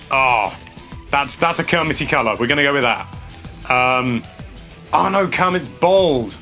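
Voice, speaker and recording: Young games presenter, rambling and self-mocking, bright tone. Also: male voice, mid-range voice. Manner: chatty, self-mocking, thinking aloud. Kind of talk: casual gameplay commentary.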